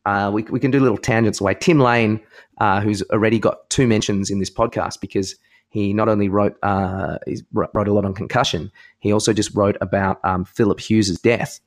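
An Australian man with a fairly low voice talks casually as a podcast co-host. He is opinionated and informal, happy to swear as he discusses sport. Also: fairly even intonation, fairly quick. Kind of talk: casual chat about sport.

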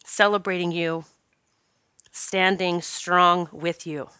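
Stuffy-nosed lady hosting a podcast, bright clear voice, chatty and upbeat, dry close sound. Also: fairly low pitch, little pitch movement, slow pace, no room sound. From Northeast Pennsylvania. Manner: chatty, encouraging, with fillers. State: congested from allergies. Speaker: podcast host. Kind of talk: casual podcast talk.

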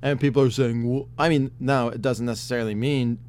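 Man, podcast host, casual chat, rambling, excitable, swears a lot, voice wearing out, faint hum. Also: medium pitch, brisk. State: losing his voice.

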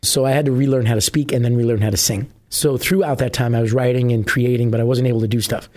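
A middle-aged man from New Jersey, a musician guest with a husky voice, chatting casually and rambling with many fillers. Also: medium pitch, fairly even intonation, fast, darkish tone. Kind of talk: casual interview chat.

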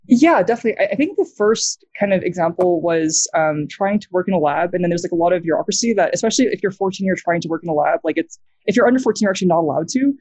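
A young woman with an American accent, a guest talking casually on a video call, quick and halting, full of fillers and restarts. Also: on the low side, lively intonation, a little mellow, slightly rough, no echo. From New Zealand.

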